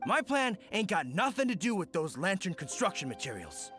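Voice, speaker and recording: Hapless, animated young thief. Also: male voice, high-pitched, moderate pace, full character voice. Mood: defensive. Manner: hesitant, stammering, folksy slangy speech. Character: hapless young male apprentice thief, dim but eager. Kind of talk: English video game voice acting. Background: game music.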